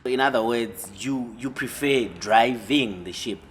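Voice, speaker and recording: A man's voice: medium pitch, a little slow, crowd noise. A man hosting a talk show, asking questions with frequent restarts and light teasing. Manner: hesitant, repeats words, teasing. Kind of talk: interview questions.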